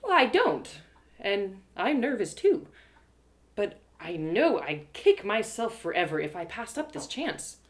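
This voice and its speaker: A woman's voice: medium pitch, very animated, unhurried. A woman playing an earnest, wholesome young heroine learning to be a warrior in a fantasy audio drama. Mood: nervous, determined. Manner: earnest, quaint exclamations, hesitant when nervous.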